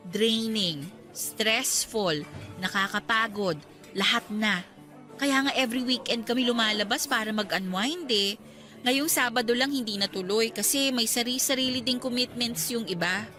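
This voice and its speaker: An actress playing a candid, cautious young schoolteacher being courted in a radio drama, chatting in a Filipino accent and mixing in English. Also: female voice, a little high, normal speed, sharp tone, faint music behind. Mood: weary, candid. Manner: conversational, mixing Tagalog and English.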